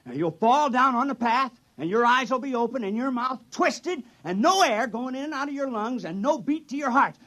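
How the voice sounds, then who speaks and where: An actor playing an earnest, reluctant village fisherman, halting in manner, in 1950s radio style. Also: very high-pitched, lively intonation, pushed and bright, full character voice. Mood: nervous bravado.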